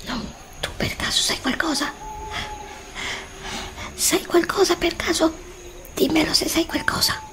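A woman's voice: very high-pitched, measured pace, pauses often, voice pushed up. A woman playing a frightened wanderer, whispering. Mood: fearful. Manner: anxious pleading whispers, repeated questions. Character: frightened young woman lost in a dark forest. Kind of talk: ASMR roleplay. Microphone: desk condenser mic close up.

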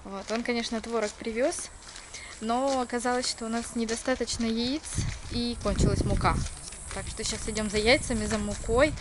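A woman's voice: on the high side, steady tone, normal speed. A woman in her thirties with a slightly raised voice and a stuffy nose, chatting on a handheld camera and laughing often as she asks questions. The recording is noisy, with outdoor ambience behind her. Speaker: vlogger filming her family. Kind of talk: casual family vlog chat. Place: outdoors in a summer garden and village lane. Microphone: handheld camera at arm's length. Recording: outdoor camera audio with noticeable background noise.

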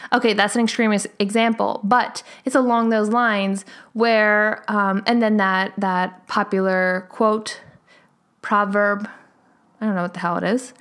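Young woman, podcast host, casual solo talk, laughs a lot, says "like" often, mid pitch. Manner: chatty, laughs often, many "like" fillers.

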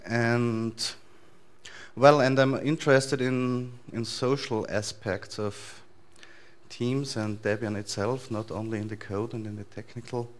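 A man in his thirties with an Austrian accent, giving a relaxed, loosely structured talk to a conference audience in a large lecture hall. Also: mid-range voice, unhurried. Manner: relaxed, thinking aloud with frequent fillers and restarts. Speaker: conference speaker.

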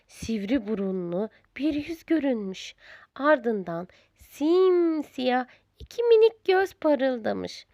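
Woman storyteller, high voice, very animated intonation, unhurried, children's tale narration. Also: dry recording, clean studio sound.

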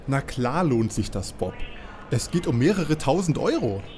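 An actor playing an earnest, conciliatory young detective sidekick in a parody radio play. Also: male voice, mid-range voice, very animated, normal speed, darkish tone, slight background noise, natural voice.

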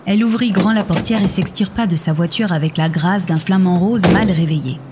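A woman's voice: on the low side, a little fast, noisy background. A woman narrator reading scripted fiction in an audio drama. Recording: music under the voice.

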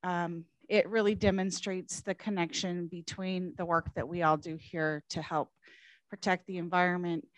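A woman speaks into a handheld mic in a meeting room, appreciative and a little halting. Her voice is low for a woman. The sound is dry. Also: little pitch movement, no echo, low-quality recording. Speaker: consortium staff member. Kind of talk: thank-you remarks.

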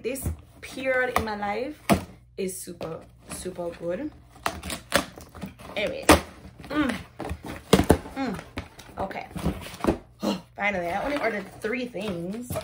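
A woman's voice: mid-range voice, noisy background. Bubbly young woman vlogging in her bedroom. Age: young adult. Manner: bubbly, chatty, gushing with excitement. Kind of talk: casual vlog unboxing. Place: bedroom, indoors. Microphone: camera on a tripod facing her.

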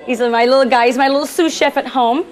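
A woman's voice: sits high, fairly even intonation, fast. Chatty woman in an echoey store. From Trinidad. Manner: chatty and upbeat, jokes with the crowd. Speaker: chef giving a cooking demonstration. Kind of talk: live in-store cooking demo to an audience. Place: department store demo kitchen.

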